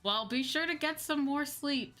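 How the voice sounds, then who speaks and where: A woman's voice: high voice, normal speed, sharp tone. A woman hosting a livestream as a VTuber, talking casually in a chatty, giggly way. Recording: background music behind voice. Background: music.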